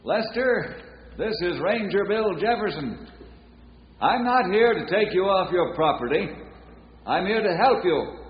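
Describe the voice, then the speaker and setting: An actor playing a steady, kindly ranger in an old-time radio western, speaking in an earnest, folksy, persuasive way. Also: male voice, high-pitched, measured pace, slight background noise. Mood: urgent, calling out. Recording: dull, lo-fi old radio recording.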